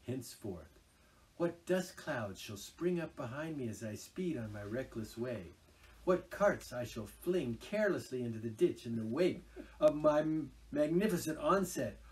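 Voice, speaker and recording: A man's voice: medium pitch, expressive, a little slow, far from the mic, voice pushed up, close to an everyday voice, poor audio. A man voicing a rapturous, boastful male toad lost in a dreamy obsession, gushing and swooping through his lines. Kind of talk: storybook reading aloud.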